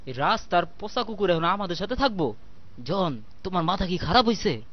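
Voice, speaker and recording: A man's voice: high voice, animated, a little fast, muffled recording. A man with a Bangladeshi accent voicing a sly, lazy, grumbling cartoon cat in a colloquial comic dub. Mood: indignant. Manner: exclamatory, mocking, complaining.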